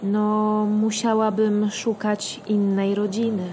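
Woman doing shy little girl for a kids audio play, hesitant n innocent, pretty slow talking. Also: medium pitch, completely flat delivery, dark tone, a little hiss or hum, lightly acted, poor audio. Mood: earnest. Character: timid, earnest little girl from the other world. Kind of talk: children's radio play acting.

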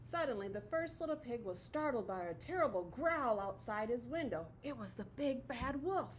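Middle-aged woman, high voice, expressive storybook read-aloud, teacher to young children, classroom with light noise. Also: moderate pace. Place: classroom, indoors.

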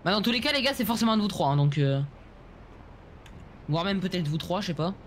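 A man's voice: sits high, lively intonation, fast. A man speaking informally to other players over game voice chat. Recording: faintly noisy game voice chat.

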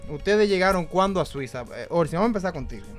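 Podcast host, man, brisk questions, studio mic, light music bed. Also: a little high, expressive. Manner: quick questions, lots of short backchannel replies. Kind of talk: casual interview chat. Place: small studio with a patterned wall. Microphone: studio mic on a stand, headphones.

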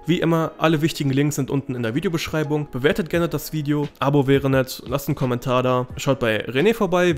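Friendly German man narrating a game guide. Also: medium pitch. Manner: friendly, addresses viewers directly. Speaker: gaming video host. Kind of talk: scripted gameplay guide narration. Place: voice-over over game footage.

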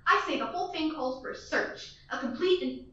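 A shrill, demanding invalid woman, played by a student actress in a school radio play, stammering and fretting. Her high voice comes from far off the mic in a very echoey room. The sound is dull and muffled. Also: animated, a little fast, a bit bright. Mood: anxious. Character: nervous bedridden wealthy woman, shrill. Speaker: student actor.

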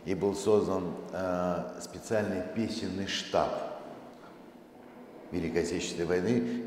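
A middle-aged man giving a measured public presentation from a stage, with halting pauses. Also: deep voice, warm tone. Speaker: presenter, museum director. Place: seated on stage in a large dark hall. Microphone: handheld microphone through hall speakers, far camera. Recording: very echoey hall with faint noise.

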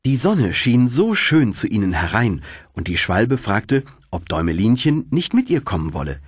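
A man narrates a fairy tale in a lowish, husky voice. His storytelling is deliberate and highly animated. The sound is dry and close, as in an audiobook studio. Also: no room sound.

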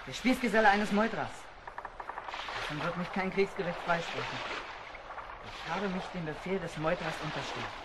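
A man's voice: sits high, moderate pace, long pauses, natural voice. A man acting a bitter, reluctant sailor in a sea adventure radio play. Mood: bitter, resigned. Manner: bitter, resigned. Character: bitter, reluctant male sailor loyal to the navy. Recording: loud boat and sea noise.

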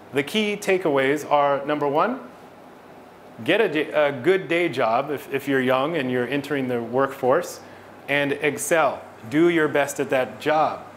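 A middle-aged man presenting a business talk to an audience in a small event space, steady and clear with the odd filler and self-correction. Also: fairly high pitch, slight background noise. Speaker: business consultant giving a talk.

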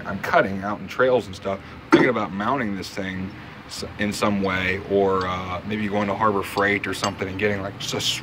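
A man's voice: lowish voice, heavy background noise. A man filming a casual show-and-tell vlog in his home basement workshop on a handheld phone, rambling with lots of fillers and restarts. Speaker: vlogger. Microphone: handheld phone camera.

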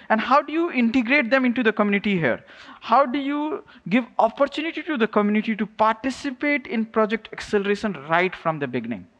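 A man giving an informal, energetic keynote, speaking in a stop-start way with repeated words and checking in with "right?". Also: extremely high pitch. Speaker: conference keynote speaker, programs lead. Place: stage in a large glass-walled event hall. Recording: echoey hall with long reverb.

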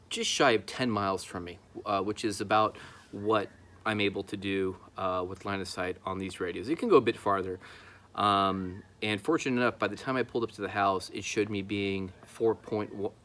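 A man's voice: lowish voice, a bit bright. A man in his thirties talking casually to camera, explaining his hobby as a video host outdoors. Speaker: YouTube host, amateur radio hobbyist. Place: outdoors in a backyard.

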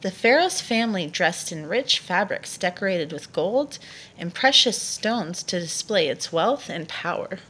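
A woman reading a children's nonfiction book aloud to a child in a measured storytelling delivery. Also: on the low side, lively intonation, a bit bright, slightly rough.

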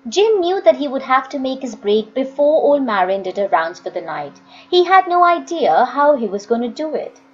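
A woman reading a story aloud as a storyteller, with deliberate, dramatic phrasing. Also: sits high, big pitch swings, lo-fi sound. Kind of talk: expressive scripted audiobook reading.

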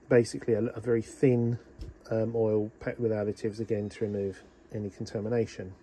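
A man's voice: medium pitch, mellow. A man casually explaining an engine oil change on his boat, talking with many ums into a phone held in one hand in a small cabin. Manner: practical, chatty, many ums. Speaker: boat owner showing engine maintenance. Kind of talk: casual how-to narration.

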